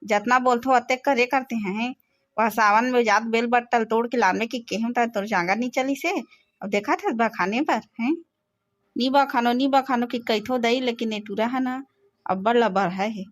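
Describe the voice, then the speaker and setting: Woman doing a complaining cartoon village mother, slightly high voice, Chhattisgarhi accent, dry recording. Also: normal speed, dry recording, lightly acted. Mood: scolding. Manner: scolding, complaining.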